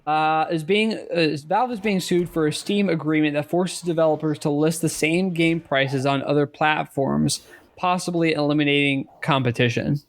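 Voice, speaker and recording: A man co-hosting a casual podcast, speaking haltingly and repeating words as he goes. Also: a little high. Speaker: podcast co-host.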